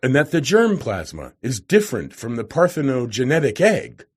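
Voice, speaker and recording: A man's voice: mid-range voice, big pitch swings, normal speed, slightly rough. A man reading aloud who voices a hot-tempered, blustering older professor with a roaring manner. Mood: scornful.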